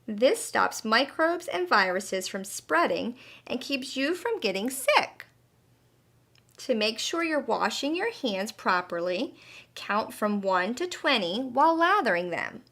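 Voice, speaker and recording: A woman's voice: on the high side, very animated, takes it slowly, a bit bright, full character voice. A woman voicing a kindly, patient female butterfly who teaches in an encouraging, emphatic way in a children's storybook. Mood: instructive. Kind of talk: children's storybook read-aloud.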